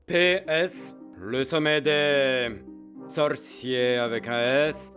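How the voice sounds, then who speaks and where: Slow, pompous villainous sorcerer. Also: male voice, medium pitch, steady tone, fairly bright tone, soft background music. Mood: gloating, spiteful. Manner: pompous, theatrical. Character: haughty spiteful male sorcerer dictating poison-pen letters. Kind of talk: French animated film dubbing.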